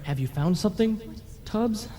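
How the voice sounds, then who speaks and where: An actor playing a defiant, fed-up ghost on trial, blunt and theatrical, in a radio play. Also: male voice, high voice, expressive, moderate pace, dark tone. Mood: startled. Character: defiant, fed-up male ghost on trial, work-shy rebel. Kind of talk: old-time radio play acting. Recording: long hall reverb.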